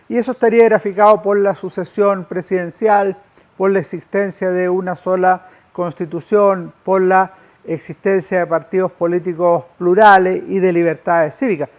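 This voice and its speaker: A man lecturing in a didactic, measured way with formal phrasing. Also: high-pitched. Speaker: lecturer, historian. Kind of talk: public lecture on history, with slides.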